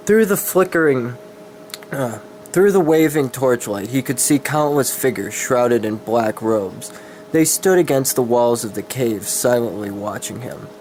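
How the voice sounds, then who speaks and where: A man's voice: medium pitch, darkish tone, rough recording. A man giving a dramatic reading of fantasy horror fiction, stumbling over words and correcting himself. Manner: stumbling, self-correcting delivery. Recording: clear background noise.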